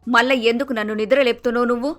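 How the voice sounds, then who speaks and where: Woman playing a grumpy, high-voiced Telangana mother-in-law. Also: steady tone, racing, fairly bright tone, faint music behind, lightly acted. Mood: exasperated, scolding. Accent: Telangana Telugu. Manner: blunt, scolding. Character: grumpy, bossy middle-aged Telangana mother-in-law, easily exasperated. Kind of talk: Telugu moral story cartoon dialogue.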